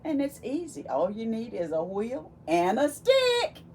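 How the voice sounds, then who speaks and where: Lady doing storytime for little kids, unhurried and very expressive, mellow voice, some noise behind her. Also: fairly high pitch. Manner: animated, exclaiming with gasps and wonder, addressing young children. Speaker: volunteer children's read-aloud storyteller. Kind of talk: expressive picture-book read-aloud with questions to children.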